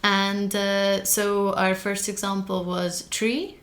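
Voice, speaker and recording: A young woman whose first language is Hungarian, a mathematician speaking as a guest in a podcast interview, earnest and careful, often pausing to find her words. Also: mid-range voice, level tone, fairly bright tone. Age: young adult. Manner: careful, earnest, with frequent hesitations. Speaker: guest, early career mathematician.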